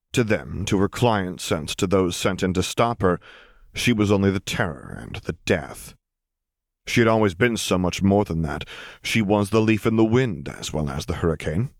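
A man reading scripted fiction as an audiobook narrator, in a measured, dramatic storytelling manner. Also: deep voice, no echo, studio quality.

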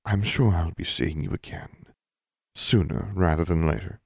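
A cold, menacing official, voiced by a man, speaking low and quiet with a veiled threat. The storybook read is close and dry. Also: normal speed, creaky, husky, dry recording, full character voice.

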